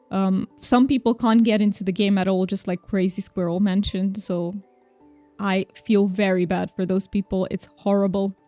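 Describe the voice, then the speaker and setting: A young woman whose first language is Romanian chats with viewers as she plays, friendly and reassuring, often laughing and restarting her sentences. Her voice is mellow and dry, with soft game music behind. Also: medium pitch, dry recording. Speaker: streamer. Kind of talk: casual game stream chat.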